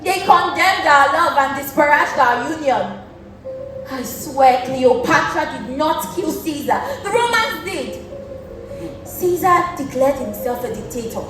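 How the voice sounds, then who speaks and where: Echoey, high-voiced actress as a proud wronged queen. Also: recorded from a distance. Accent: Nigerian. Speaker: student stage actor. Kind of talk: stage play acting. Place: large indoor theatre stage. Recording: echoey theatre stage from afar, faint noise.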